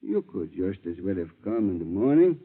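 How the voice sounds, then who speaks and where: An actor playing a shifty, cowardly bait peddler and blackmailer, wheedling and slangy, in a 1940s radio drama. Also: male voice, deep voice, big pitch swings, normal speed, noisy background. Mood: wary. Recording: dull 1940s radio recording.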